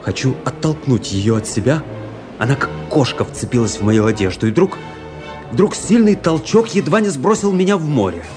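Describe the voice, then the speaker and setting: A man's voice: medium pitch, animated, normal speed, close to an everyday voice. An actor playing an intense, introspective young officer who narrates his own adventure in a radio drama. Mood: agitated, breathless. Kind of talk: dramatic first-person narration, radio play. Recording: radio play with music underneath. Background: music.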